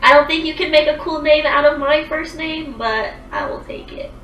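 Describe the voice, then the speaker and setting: A woman's voice: high voice, normal speed, echoey room, distant mic, dull audio, slight background noise. A woman in her twenties chatting casually on a livestream, rambling with lots of fillers as she answers viewer comments. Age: 20s.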